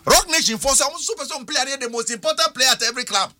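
A man's voice: very high voice, dry recording. A man hosting a casual football chat, talking animatedly and in a sing-song way. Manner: animated, rapid, sing-song, laughs often. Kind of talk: casual football chat mixing Twi and English.

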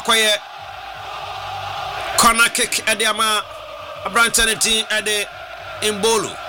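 A man with a Ghanaian accent doing live radio football commentary, shouting excitedly and mixing Twi and English. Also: high voice. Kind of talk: live radio play-by-play with read-in ads. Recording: noisy broadcast with crowd behind.

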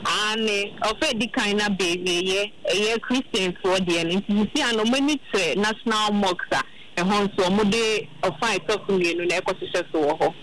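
Ghanaian reporter live from an event, highish voice, lots of noise behind. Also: male voice, moderate pace. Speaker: field reporter.

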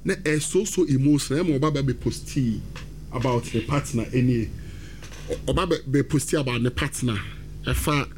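A man with a Ghanaian accent hosting a football talk segment, animated and switching between English and Twi. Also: mid-range voice, normal speed, a little hiss or hum. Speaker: sports radio host.

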